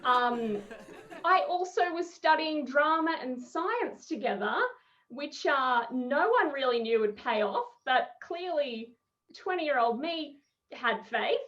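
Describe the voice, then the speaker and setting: A thirty-year-old woman with an Australian accent performing wry, expressive stand-up over a headset on a video call, in muffled, noisy audio. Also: high-pitched, slow. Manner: expressive, wry, chuckling between phrases. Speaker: stand-up comedian. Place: small room at home.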